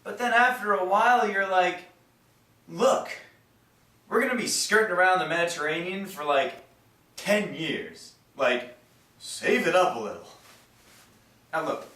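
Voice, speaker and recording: A man's voice: high voice, animated, unhurried, long pauses, bright, forceful tone, exaggerated voice. A man performing a stage monologue as a vain, slangy, weary young ship's lookout who breaks into exclamations. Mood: excitable, wry. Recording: echoey room, far from mic.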